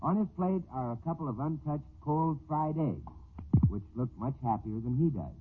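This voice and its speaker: A man's voice: on the high side, expressive, moderate pace. An American man narrating a 1940s radio comedy as announcer, mock-dramatic, on a tinny, noisy old recording.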